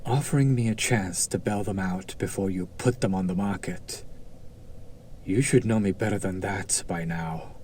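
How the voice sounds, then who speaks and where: A man's voice: low-pitched, normal speed, a little mellow, a little hiss or hum, close to an everyday voice. A man playing a haughty, sardonic demon buyer in an audio drama, drawling with condescending, theatrical skepticism. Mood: suspicious, intrigued. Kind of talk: scripted roleplay audio drama acting.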